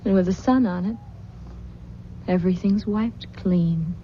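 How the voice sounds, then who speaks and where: Haunted, mellow-voiced young bride, played by an actress. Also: female voice, fairly low pitch, a little slow, a little hiss or hum, lightly acted. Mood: withdrawn, dreamy. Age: young adult. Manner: withdrawn, brooding, breathy hushed intensity. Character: listless grieving young bride haunted by demonic dreams. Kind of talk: old-time radio drama acting. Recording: muffled old radio recording with music.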